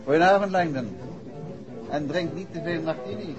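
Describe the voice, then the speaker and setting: An actor playing a composed, courteous and determined detective in a 1960s radio play. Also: male voice, fairly high pitch, normal speed, over music, lightly acted. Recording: dull, noisy old radio recording.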